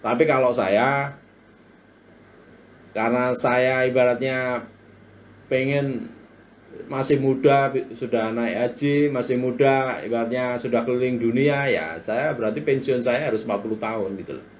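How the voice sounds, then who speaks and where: A man's voice: medium pitch, a little slow, long pauses, slight background noise. A man in his forties hosting a live-stream book talk, chatting to viewers and reading their comments aloud in a motivational way. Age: 40s. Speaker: live-stream business coach.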